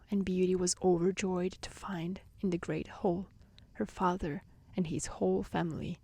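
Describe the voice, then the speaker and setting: Slow, breathy woman reading softly. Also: on the low side, dry recording. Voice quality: breathy, often near a whisper. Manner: gentle, slow, soothing. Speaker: storyteller reading a fairy tale aloud. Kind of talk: soft-spoken ASMR storybook reading.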